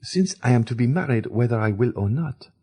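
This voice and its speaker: A man voicing a firm, independent young woman who speaks emphatically in a high falsetto, reading an audiobook. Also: animated, a little fast, mellow, husky. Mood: proud. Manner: emphatic, clipped refusals.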